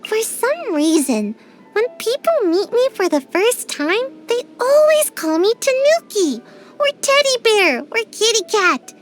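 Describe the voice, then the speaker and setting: A woman's voice: very high-pitched, big pitch swings, unhurried, soft background music, heavily acted. A woman voicing a tiny, sleepy young ninja girl in a game, drowsy and a little whiny, shy but grumpy. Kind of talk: game character voice lines.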